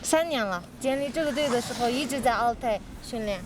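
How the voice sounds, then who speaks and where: A woman's voice: on the high side, a little fast, noisy background. A woman from China with a Chinese accent, chatting casually on location.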